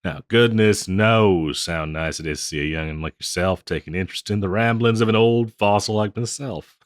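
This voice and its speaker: Guy doing an old west bartender, real low voice, folksy n teasing, rambles on, close dry roleplay audio. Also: moderate pace, no room sound, close to an everyday voice. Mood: folksy, amused. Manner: folksy, rambling, chuckling drawl with hesitations. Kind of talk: intimate scripted roleplay audio, addressed to listener.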